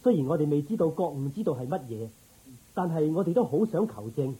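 A man's voice: a little high, sing-song, fairly quick, dark tone, husky, heavily acted, poor audio. A man playing a loyal, reverent royal minister in a Cantonese radio drama, formal and deferential in his speech. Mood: eager, reverent.

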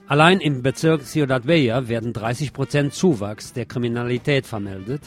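A man's voice: medium pitch, moderate pace. A man delivers a scripted news commentary in a mid-range voice. He speaks as a presenter, with guitar music playing faintly behind him.

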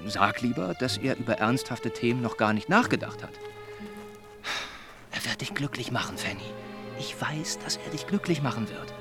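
An actor playing an earnest, well-meaning young gentleman in a German radio drama, speaking in a measured, formal, persuading way. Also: medium pitch, lively intonation, loud music behind the voice, natural voice. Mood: insistent.

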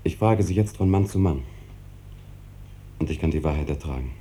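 An actor playing an anguished, insistent husband in a 1950s German radio drama, earnest and pressing, on an old noisy recording. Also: male voice, a bass voice, lively intonation, moderate pace, warm tone.

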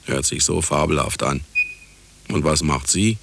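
An actor playing a cynical, self-justifying scientist and husband in a radio play, sardonic and condescending, with a gravelly voice. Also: male voice, extremely low pitch, unhurried, a bit bright, full character voice. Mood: sardonic, agitated. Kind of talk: radio play acting, monologue and dialogue.

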